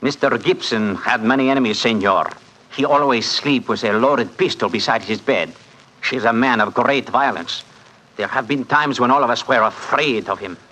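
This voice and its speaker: Man playing bright-voiced, grave Brazilian manservant. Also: mid-range voice, moderate pace, slight background noise, close to an everyday voice, rough recording. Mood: grave, wary. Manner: formal, foreign phrasing. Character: grave Brazilian manservant, wary of his violent master. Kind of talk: 1940s radio acting.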